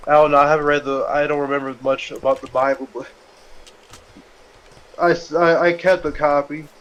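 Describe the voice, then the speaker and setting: Male gamer, slightly higher register, casual group banter, rambling storytelling delivery. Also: little pitch movement, moderate pace, fairly bright tone, lo-fi sound. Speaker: let's play gamer. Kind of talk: casual joking group chat while gaming.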